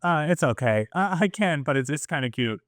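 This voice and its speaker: A man hosts a podcast in a laid-back, joking manner, tossing out loose questions. His voice is smooth and clear at an average pitch, recorded close and dry. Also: lively intonation, a little fast, a bit bright, no room sound. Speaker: podcast host. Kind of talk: casual interview chat.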